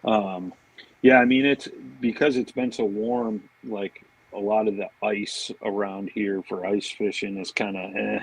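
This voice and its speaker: A man's voice: on the low side, fairly even intonation, unhurried, breathy edge, no echo, low-quality recording. A man chatting casually and telling informal stories as a podcast guest. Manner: informal, anecdotal, peppered with "man" and "you know". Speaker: podcast guest, fishing marketing director. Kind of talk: casual chat.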